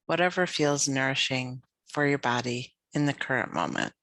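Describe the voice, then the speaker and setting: Woman guiding a slow, gentle meditation. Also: very deep voice, dry recording. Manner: gentle, soothing, unhurried with long pauses. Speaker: yoga nidra teacher. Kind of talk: guided meditation narration.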